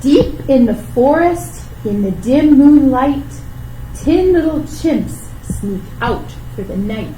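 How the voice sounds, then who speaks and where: A woman's voice: sits high, expressive, takes it slowly, mellow. A woman hosting a children's storytime, talking in simple, encouraging phrases as she reads and teaches rhymes. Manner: simple, encouraging talk addressed to small children. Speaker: library storytime host. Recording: roomy, distant microphone with background noise.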